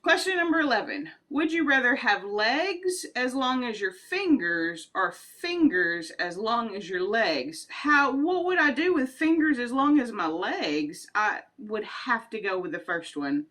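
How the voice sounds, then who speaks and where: A woman's voice: on the high side, big pitch swings, unhurried, few pauses, fairly bright tone. A woman, chatting casually and rambling through would-you-rather questions with frequent giggles. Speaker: vlogger.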